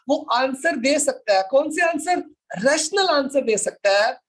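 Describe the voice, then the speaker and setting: A man with a Pakistani accent lecturing informally to a class, explaining step by step and repeating his points. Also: extremely high pitch. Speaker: law lecturer.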